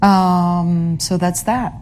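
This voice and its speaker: A woman with a notably low voice answers interview questions in a reflective, halting way. She pauses, reaches for words and fills the gaps with small hesitations. A faint hiss sits under her voice. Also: fairly even intonation, a little mellow. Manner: halting, searches for English words, many fillers. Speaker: actress being interviewed.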